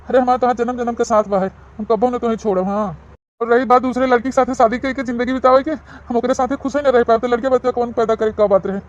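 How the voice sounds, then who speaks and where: A gentle village husband, as a voice actor plays him, soothing and reassuring in an Awadhi accent. The voice sits at a middle pitch. The recording sounds dull and muffled. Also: natural voice.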